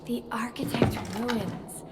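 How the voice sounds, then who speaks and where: A woman voicing an upbeat, cocky young female fighter in a video game, with a peppy, excitable delivery. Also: on the high side, slow pace. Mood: determined, hopeful. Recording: loud noise behind the voice.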